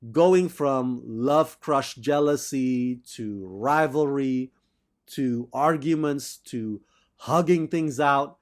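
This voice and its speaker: Slow-paced, enthusiastic male film reviewer. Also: on the high side. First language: not Thai.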